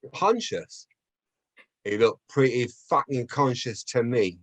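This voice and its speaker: A man's voice: medium pitch, animated, unhurried, dry recording. A man performs a bullying gangster for a film script read. He is angry and intimidating, pressing every question hard. The sound is dry and close, with a mid-range voice. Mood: incredulous, angry. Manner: aggressive, intimidating, interrogating. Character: angry, menacing male gangster pimp, foul-mouthed and bullying.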